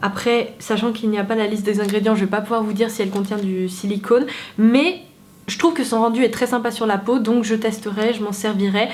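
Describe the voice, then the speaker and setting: A woman's voice: medium pitch, steady tone, a little fast, a little mellow. Chatty young woman with a head cold. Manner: chatty, candid, self-mocking. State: stuffy with a cold. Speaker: beauty vlogger. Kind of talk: casual chat to camera.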